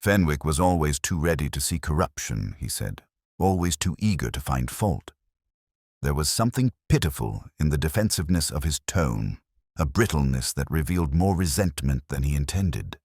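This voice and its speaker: A man reading first-person detective fiction in a measured, literary, melancholic and introspective manner. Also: extremely low pitch, animated, darkish tone, husky, dry recording, clean studio sound. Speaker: narrator of a detective story. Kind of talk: first-person scripted mystery narration.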